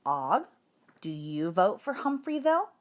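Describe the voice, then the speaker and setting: Storybook schoolteacher, acted by a woman: patient but in charge, very lively intonation. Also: lowish voice, measured pace. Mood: inviting. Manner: firm, questioning classroom address. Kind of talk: children's storybook read-aloud.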